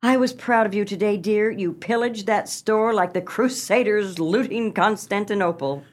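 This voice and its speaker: A woman's voice: medium pitch, moderate pace, lightly acted. A woman acting a bossy, fussy, melodramatic wife and mother in a comic radio play, with a theatrical delivery. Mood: proud, businesslike. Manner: theatrical, dramatic.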